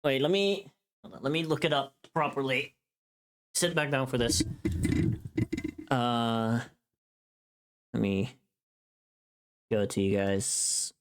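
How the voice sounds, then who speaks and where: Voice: mid-range voice, takes it slowly, long pauses. A livestreamer chatting casually while working, easily distracted and prone to exclamations.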